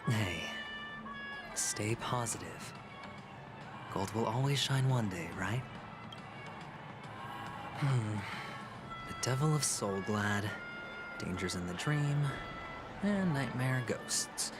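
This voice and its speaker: An actor playing a smooth, sly young gambler in a video game, with a theatrical, calculating, teasing delivery. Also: male voice, on the low side, lively intonation, slow, long pauses, over music. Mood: friendly, coaxing. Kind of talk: scripted video game dialogue.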